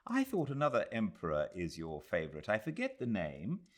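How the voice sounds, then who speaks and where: Male reader as young English woman, raised pitch, polite, inquisitive, light questioning delivery, audiobook character. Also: wildly swooping pitch, moderate pace.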